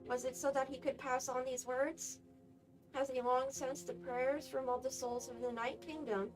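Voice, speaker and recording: A woman's voice: high voice, level tone, moderate pace, close to an everyday voice, rough recording. A woman playing a thoughtful young female onlooker in a video game, speaking in a musing, wondering way. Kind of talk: anime video game voice acting. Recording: faint music behind.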